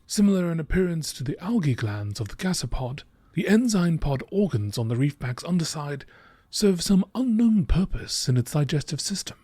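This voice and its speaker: Male narrator, fairly high voice, very animated pitch, measured nature-documentary read, some background noise. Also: breathy edge. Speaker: narrator reading game text aloud.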